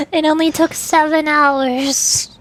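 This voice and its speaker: A woman's voice: high voice, takes it slowly, a bit bright, low-quality recording. A woman livestreamer chatting casually over a game in a playful, theatrical way. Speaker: vtuber streamer.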